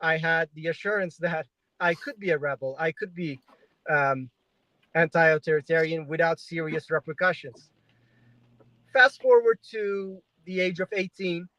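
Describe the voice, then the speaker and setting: A man's voice: fairly high pitch, unhurried, sharp tone, dry recording, rough recording. A man in his twenties who grew up in Turkey, telling his story as a livestream guest in a chatty way with frequent fillers. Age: 20s. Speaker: guest journalist. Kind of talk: livestream interview. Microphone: video call.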